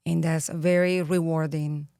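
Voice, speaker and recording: A low-pitched woman with a Venezuelan Spanish accent talks slowly and earnestly, pausing often to think. Her voice sits dry and close to the mic. She sounds like a podcast guest reflecting in her thirties. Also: level tone, mellow, smooth, dry recording. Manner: reflective, earnest, with frequent pauses and fillers. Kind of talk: interview conversation.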